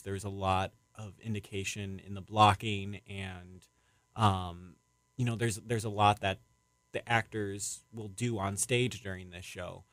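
A man's voice: lowish voice, fairly bright tone, no echo. A young man answering interview questions as a playwright, casually, in a hesitant, rambling and reflective way.

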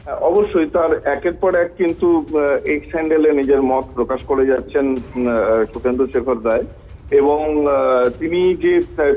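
A man giving a halting live news report over a telephone line. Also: fairly high pitch, slightly rough. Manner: halting, hesitant, repetitive. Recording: dull, lo-fi phone line.

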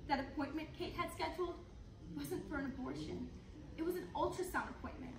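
A woman's voice: high-pitched, fairly quick, noisy background. A young woman playing an earnest youth pastor telling her story in a projected, theatrical readers theater style. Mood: earnest, reflective. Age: young adult. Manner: projected, emphatic, theatrical delivery. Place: large conference room, judges' table in front. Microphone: distant camera. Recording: echoey room recorded from far off, audience sounds.

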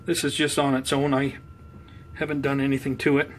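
A man giving an unhurried, conversational show-and-tell as a hobby vlogger, talking casually indoors. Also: mid-range voice, flat delivery. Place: indoors at home by plant shelves. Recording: clear background noise, room ambience.

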